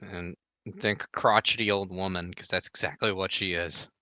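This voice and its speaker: A man in casual chat among voice actors, low-key and dry. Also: fairly even intonation, normal speed, sharp tone, dry recording. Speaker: fan voice actor.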